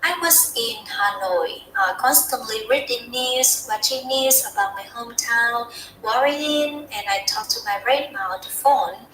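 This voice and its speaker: A woman's voice: fairly high pitch, unhurried, pushed and bright, roomy sound, faint music behind, poor audio. A young woman from Vietnam with a Vietnamese accent, giving a heartfelt practice talk in the style of a TED talk, often restarting her phrases. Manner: earnest, heartfelt, with frequent restarts. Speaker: student speaker.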